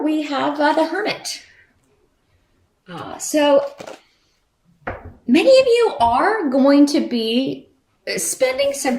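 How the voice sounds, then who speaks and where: A woman giving an unscripted tarot reading to viewers in a conversational, rambling, reassuring way. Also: high-pitched. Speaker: tarot reader and channel host. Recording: roomy echo.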